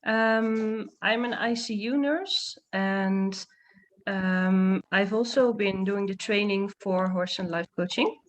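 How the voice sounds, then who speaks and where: A woman's voice: medium pitch, slow, poor audio. A woman from the Netherlands, an ICU nurse introducing herself on a video call with some fillers. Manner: plain, with fillers.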